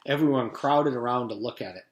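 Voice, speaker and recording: A man's voice: medium pitch, brisk. A man reading a children's storybook aloud like a teacher, narrating expressively in a roomy space. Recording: roomy echo.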